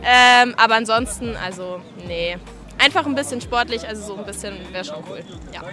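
A woman's voice: fairly high pitch, normal speed. A nineteen-year-old woman from Munich answering a street interview, hesitant and full of fillers. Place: shopping street at night, outdoors. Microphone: handheld mic held by interviewer. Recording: street with loud music behind.

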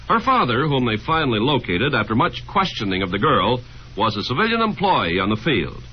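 A man reading a scripted announcement as a 1950s radio announcer. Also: mid-range voice. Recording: dull, noisy vintage radio recording.

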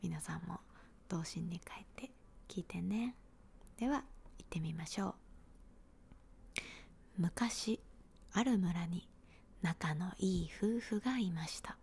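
A woman, giving a gentle, unhurried whispered ASMR storybook reading in a small room, close to a handheld recorder. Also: fairly low pitch, creaky, breathy edge.

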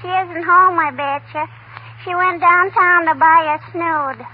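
A woman playing a cheeky, pestering little girl with drawn-out childish talk in a 1940s radio comedy. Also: extremely high pitch, steady tone. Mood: matter-of-fact. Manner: drawn-out childish talk with repeated tag phrases. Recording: tinny, noisy 1940 radio broadcast.

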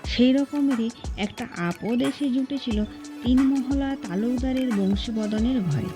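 A woman's voice: on the high side, unhurried, music in the background. A woman narrating a classic short story as an audiobook reader, in scripted storytelling style.

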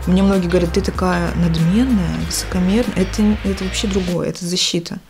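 A woman's voice: lowish voice, steady tone, fast talker, over loud music. Dry-voiced woman in her thirties. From Ukraine. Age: 30s. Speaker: interviewee. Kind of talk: documentary interview.

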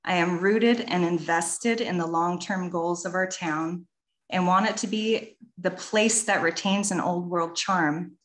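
A woman from Washington State reading a prepared public comment over a video call, measured and earnest, with a big echo on her line. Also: fairly low pitch. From Washington State, USA. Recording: echoey call audio.

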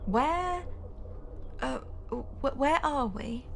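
A woman's voice: high voice, animated, very slow, gravelly, exaggerated voice. A woman playing a bossy, sarcastic young female fugitive in an audio drama, with a scolding manner. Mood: stunned. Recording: faint background noise.

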